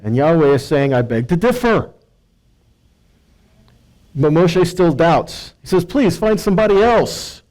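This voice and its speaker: Male Bible teacher, slightly high-pitched voice, conversational sermon style, emphatic delivery, quoted dialogue, rhetorical asides. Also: animated, a little slow, pauses often, a little mellow, poor audio.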